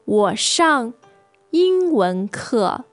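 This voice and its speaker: A fairly high-voiced woman co-hosts a podcast language lesson, speaking very slowly. She models phrases with careful pronunciation, and her intonation moves a great deal. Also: very animated, no room sound, faint music behind. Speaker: native-speaker co-host teacher.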